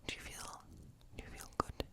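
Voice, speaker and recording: A woman voicing a playful, boastful woodland witch in a breathy, intimate whisper for an ASMR fantasy role play. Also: moderate pace, close to an everyday voice. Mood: soothing. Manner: intimate, chatty, teasing, repeats words softly. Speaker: ASMR performer.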